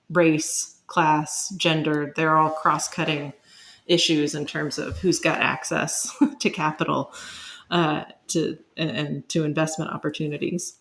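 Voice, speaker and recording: Lower-voiced woman explaining as a guest expert. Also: creaky. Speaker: interview guest, urban science expert. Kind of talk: conversational expert interview.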